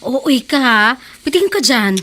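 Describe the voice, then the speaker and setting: A woman's voice: sits high, big pitch swings, unhurried, sharp tone, full character voice. An actress playing a teenage schoolgirl, protesting in natural radio drama dialogue. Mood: playful refusal. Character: flustered teasing teenage schoolgirl.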